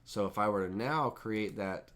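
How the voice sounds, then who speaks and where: A man hosting a live-streamed software tutorial with a head cold, sounding stuffy and nasal, rambling casually and talking to chat viewers by name. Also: lowish voice, normal speed. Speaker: host and instructor.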